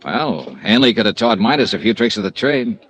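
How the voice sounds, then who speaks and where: An actor playing a wry, hard-boiled insurance investigator who narrates and questions in a clipped, matter-of-fact way, in 1950s radio drama style. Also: male voice, lowish voice, expressive, brisk, fairly bright tone, breathy edge. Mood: thoughtful.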